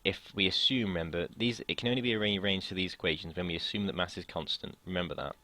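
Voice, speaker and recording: A young man from the United Kingdom explaining a physics lesson in a casual way, his voice slightly croaky from long talking, often hesitating and restarting sentences. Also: sits low, fast, sharp tone, dry recording. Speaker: student tutor.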